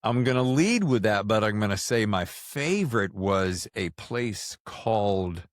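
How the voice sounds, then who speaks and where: A man's voice: on the low side, animated, measured pace, no echo, studio quality. An American man hosting a podcast, talking in an effusive, jokey way. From United States. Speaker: podcast host. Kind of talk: scripted intro and casual interview chat.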